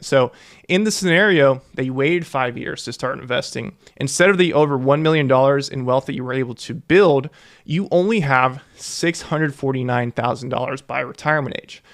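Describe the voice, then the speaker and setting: Young male finance educator, mid-pitch, earnest, stresses key numbers. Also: a bit bright. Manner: earnest, repeats key figures for emphasis. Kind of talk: explainer to camera.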